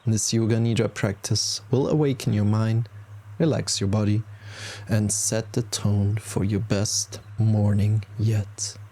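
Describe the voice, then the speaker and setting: A man leading a guided meditation as a teacher, speaking calmly in short, unhurried instructions with pauses between them. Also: fairly low pitch. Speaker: yoga nidra meditation teacher. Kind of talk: guided meditation, body scan.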